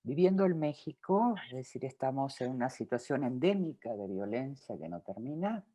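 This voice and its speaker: A woman with a Rioplatense Spanish accent talks as a film director in an interview. Her pitch rises and falls a lot as she thinks aloud. She circles back and restarts her sentences. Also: very animated, normal speed, dark tone. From Uruguay. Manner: reflective, with frequent fillers and restarts. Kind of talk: interview answers.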